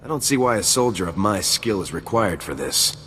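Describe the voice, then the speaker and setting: An actor playing a blunt, ruthless army lieutenant in a video game, curt and cold in manner. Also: male voice, fairly low pitch, lively intonation, brisk, fairly bright tone, a little hiss or hum. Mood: cold confidence. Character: ruthless, blunt male soldier, hardened lieutenant. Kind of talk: video game cutscene acting. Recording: long hall reverb over music.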